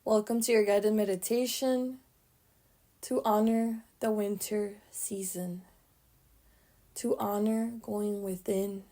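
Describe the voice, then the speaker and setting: A woman leading a guided meditation, speaking gently in short phrases with pauses between them and soft, breathy endings. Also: mid-range voice, steady tone.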